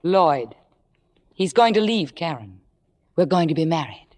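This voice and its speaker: An actress playing an ambitious, scheming young stage star in an old radio drama, speaking theatrically with a poised surface. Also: female voice, sits low, very animated, measured pace, a little mellow, long reverb tail, full character voice. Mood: elated, confiding. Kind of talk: 1950s radio drama acting.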